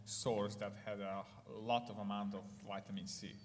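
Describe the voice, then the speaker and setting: A man's voice: lowish voice, level tone, normal speed, slight background noise. Hungarian-accented man in echoey hall. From Ukraine. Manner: hesitant, many fillers and restarts. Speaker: guest speaker. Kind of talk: church testimony talk. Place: church hall.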